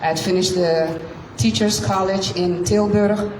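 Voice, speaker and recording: A woman from Curacao, Dutch her first language, shares her life story with an evening audience. She takes her time, pausing often between phrases. The mic sits far from her in an echoey room with clear background noise. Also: medium pitch, fairly even intonation. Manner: slow, deliberate, with frequent pauses. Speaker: guest speaker. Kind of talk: storytelling talk to a live audience. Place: event room.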